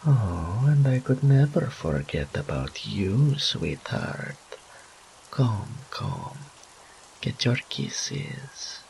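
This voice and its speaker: A man acts out a seductive male incubus demon for a roleplay audio drama, teasing and doting. The voice swings widely from purr to whisper with a gravelly edge. The delivery is a theatrical, very unhurried drawl, over a faint wash of rain. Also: medium pitch, very animated, a little mellow, slight background noise, full character voice, poor audio. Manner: theatrical drawl, purring, breathy whispered asides.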